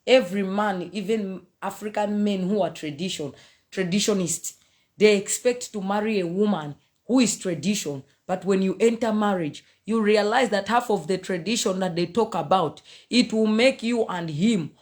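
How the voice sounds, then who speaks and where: A woman in her thirties from Zambia with a Zambian accent, talking in a lively, casual preachy way to a phone camera in a small room, often laughing and mixing in pidgin phrases. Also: mid-range voice, moderate pace. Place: small indoor room, seated on a sofa. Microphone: phone camera at arm's length.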